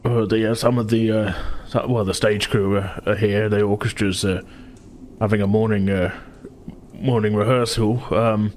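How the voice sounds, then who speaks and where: A man puts on an anxious dwarf manager for a tabletop roleplaying game. He stammers and frets in a lowish voice, deferring nervously to his guests. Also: steady tone, normal speed, a little mellow, lightly acted. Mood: flustered. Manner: stammering, fretful. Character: flustered male dwarf opera house manager, anxious, deferential.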